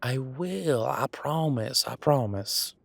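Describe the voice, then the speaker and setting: A man acting a sheepish folksy young man, slow-spoken. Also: medium pitch, animated, vocal fry, no echo, full character voice. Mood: earnest. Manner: hesitant, rambling, folksy drawling phrasing. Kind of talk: scripted audio roleplay drama.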